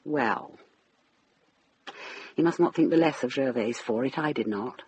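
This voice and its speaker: A woman's voice: a bass voice, a little slow, a little mellow. An actress playing a troubled middle-aged widow in a radio drama, earnestly explaining her household's affairs. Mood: sorrowful. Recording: muffled band, noticeable hiss.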